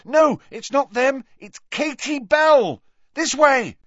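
A man voicing an urgent, brave teenage schoolboy with breathless shouting in a story. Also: extremely high pitch, lively intonation, slow, no echo, lo-fi sound, pitched above the performer's own voice, heavily acted. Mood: urgent, alarmed.

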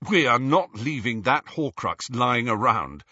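Man voicing a defensive, husky teenage wizard boy. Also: a little high, wildly swooping pitch, normal speed, fairly bright tone, no room sound, dull audio, voice pushed up, heavily acted.

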